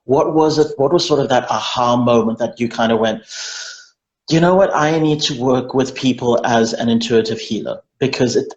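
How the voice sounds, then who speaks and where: A man's voice: medium pitch, a little mellow. A man hosting an online interview over a video call, chatty and effusive, with many fillers and restarts. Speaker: interview host. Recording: dull, echoey video call.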